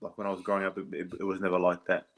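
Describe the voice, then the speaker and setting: An Australian man in his thirties, a guest on a podcast, talking casually and hesitantly with many pauses and restarts. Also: deep voice, completely flat delivery, a bit bright. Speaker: podcast guest, former rugby league player. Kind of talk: casual interview chat.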